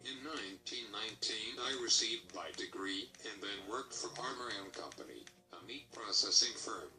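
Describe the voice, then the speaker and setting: A man's voice: lowish voice, expressive, normal speed, sharp tone. A man playing a proud Canadian statesman, reading a formal scripted first-person life story. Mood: measured, proud. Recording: distant mic, music behind.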